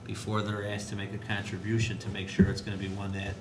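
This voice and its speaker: Man, administrator at a public meeting, low-pitched, halting delivery, noisy roomy recording. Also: completely flat delivery. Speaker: school district administrator reporting to board. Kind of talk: school board meeting discussion. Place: indoor board meeting room. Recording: noisy, echoey meeting room with low rumble.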